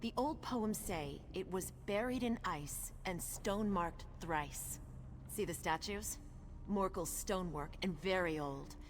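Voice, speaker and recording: A woman's voice: lowish voice, a little slow, a little hiss or hum, natural voice. A woman voicing a determined, impatient orc chieftain's daughter in a video game, speaking tersely and thinking aloud. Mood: focused, musing. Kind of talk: video game character dialogue. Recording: long reverb, loud game music behind.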